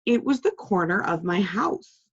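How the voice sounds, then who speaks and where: A woman voicing a friendly frog who calmly and patiently tells a story of his childhood. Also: medium pitch, measured pace, breathy edge, muffled recording. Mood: reminiscing.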